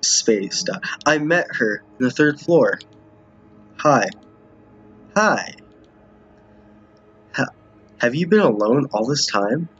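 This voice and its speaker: A man's voice: mid-range voice, animated, takes it slowly, long pauses, a bit bright, slightly rough. A man giving casual game commentary as a streamer, reading dialogue aloud and often stumbling over or repeating lines. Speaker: streamer playing a visual novel with viewers. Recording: muffled, roomy home recording.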